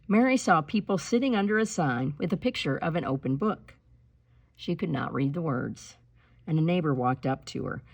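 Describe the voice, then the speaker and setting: A woman reading a children's picture book aloud in an expressive, emphatic storytelling style, slowing on key words. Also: low voice, husky. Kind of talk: expressive read-aloud storytelling with spoken asides.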